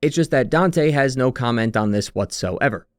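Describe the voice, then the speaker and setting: Male narrator for a long-form gaming video essay. Mid-pitched voice, scripted analytical read, very dry recording. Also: animated, normal speed, no room sound. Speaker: narrator of a video game retrospective.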